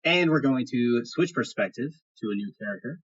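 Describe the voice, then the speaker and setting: A man narrating a tabletop game as game master, improvising in a halting way and repeating phrases. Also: mid-range voice, expressive, slightly rough, muffled recording.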